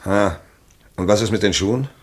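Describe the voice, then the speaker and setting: An actor playing an earnest, frustrated public prosecutor in a German historical crime radio drama, speaking formally and insistently. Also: male voice, lowish voice, normal speed, lightly acted. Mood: dismayed.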